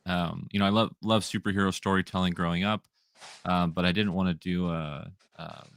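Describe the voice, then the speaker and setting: A man in his twenties with a deep, radio-style voice, talking easily and meanderingly as a comic writer guest in a casual interview. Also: steady tone, brisk, vocal fry, a bit bright. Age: 20s. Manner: easygoing, meandering sentences.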